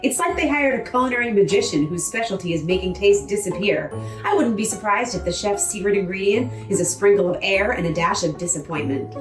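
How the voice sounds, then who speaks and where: Theatrical woman reading in a roomy space. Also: mid-range voice. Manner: theatrical, sardonic. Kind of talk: dramatic reading of a scathing review. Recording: roomy, distant mic, music behind. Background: music.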